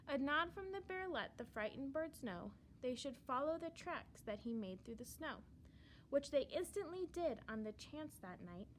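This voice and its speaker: A woman reading a children's storybook aloud in verse, with expressive, dramatic emphasis. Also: high voice, a little hiss or hum, low-quality recording.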